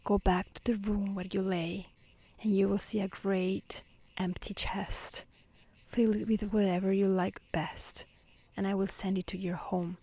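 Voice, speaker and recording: A woman voicing a stern yet generous male beast in a soft, measured storybook reading. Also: medium pitch, level tone, husky. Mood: commanding.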